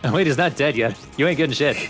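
A man's voice: medium pitch, quick pace, a bit bright. A man doing casual let's play commentary, with dry, teasing banter and some crude joking. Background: video game music.

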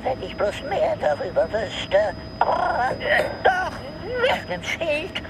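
A woman's voice: high-pitched, huge pitch swings, slow pace, heavy background noise, exaggerated voice. A woman voicing a cheeky talking parrot in an audio play, speaking in sing-song rhymes. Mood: defiant, strained. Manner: sing-song rhyming speech.

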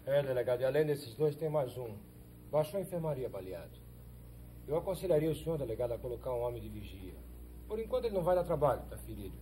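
An actor plays a courteous, self-assured Brazilian hero in his thirties. He talks quickly in old telenovela style, on a soundtrack with faint hum. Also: medium pitch, lively intonation, mellow, lightly acted. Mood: businesslike. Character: confident, courteous young backlands hero, man. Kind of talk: 1970s telenovela acting.

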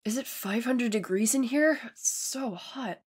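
A woman's voice: on the high side, a little slow, husky. A woman playing an easygoing, modest, dry-humored teenage younger sister in an audio drama. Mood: awkward, dry. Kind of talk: fan audio drama acting.

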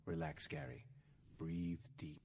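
An actor playing a calm, probing therapist in an audio drama, measured and soothing. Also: male voice, low voice, vocal fry, husky, exaggerated voice. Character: calm, probing male therapist with a sinister streak.

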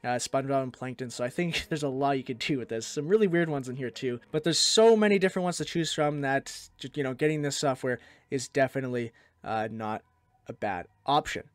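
A man hosting a software tutorial, narrating in a casual, conversational way. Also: medium pitch, brisk, no echo. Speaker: tutorial host.

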